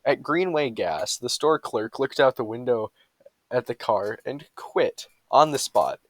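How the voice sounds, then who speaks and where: A man's voice: mid-range voice, expressive, measured pace, dry recording. A man reading humorous bedtime stories aloud with a mock-serious storytelling delivery.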